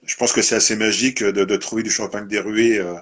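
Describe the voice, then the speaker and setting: Male live-stream host, husky, rambling and informal. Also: fairly low pitch, steady tone, fairly quick, a bit bright. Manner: meandering, tags sentences with "hein". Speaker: host of a live winery presentation.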